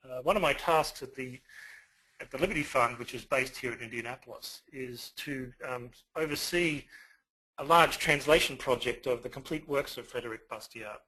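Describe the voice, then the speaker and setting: A man with an Australian accent giving an online lecture, pausing often with fillers. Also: mid-range voice, bright, forceful tone, husky, rough recording. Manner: hesitant, many fillers. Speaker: guest lecturer.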